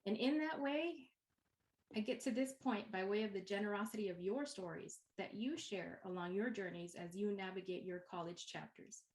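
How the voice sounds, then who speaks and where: A woman's voice: medium pitch, darkish tone. A Chicana woman from East San Jose, a university instructor whose first language is Spanish, giving a poetic spoken-word welcome address in English laced with Spanish. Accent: Chicana English with Spanish code-switching. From East San Jose, California. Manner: poetic, rhythmic, unhurried phrasing.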